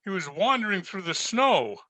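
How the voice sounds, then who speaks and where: An actor playing a shaken country doctor, urgent and halting, in old-time radio drama style. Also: male voice, high-pitched, big pitch swings, background noise.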